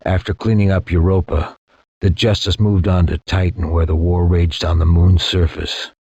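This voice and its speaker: A man playing a war-weary space soldier, narrating a past campaign in a grave, measured, reflective way with a husky voice. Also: steady tone, dark tone, dry recording, exaggerated voice. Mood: grave, tense. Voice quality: deep, husky. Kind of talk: dramatic scripted war-memoir narration. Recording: dull, lo-fi game audio.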